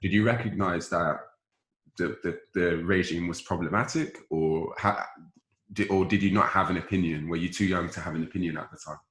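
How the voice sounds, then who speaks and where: Male discussion host, very low voice, halting and probing, casual, reverberant pickup. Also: a bit bright. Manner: halting, probing questioner who rephrases as they go. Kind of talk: casual group discussion moderating. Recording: roomy, echoing voice pickup.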